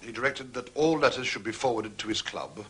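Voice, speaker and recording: Butler for period detective drama, male actor: formal, self-important, condescending, animated intonation, forward bright tone. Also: medium pitch, fairly quick, close to an everyday voice. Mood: formal, discreet. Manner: formal, pompous, deferential to authority.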